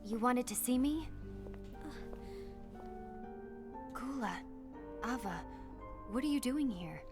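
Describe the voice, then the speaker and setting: A woman's voice: on the high side, lively intonation, unhurried, over music. A woman playing a strict, suspicious rule-keeper in a video game, formal and admonishing. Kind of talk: video game cutscene acting.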